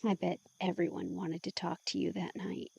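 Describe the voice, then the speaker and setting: Woman playing husky, creaky-voiced teasing confidante. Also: fairly low pitch, level tone, moderate pace, a little mellow, no echo, lo-fi sound, exaggerated voice. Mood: soft teasing. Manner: playful, blunt, prodding. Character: curious, teasing woman, the vampire's bold helper. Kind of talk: intimate ASMR audio drama acting.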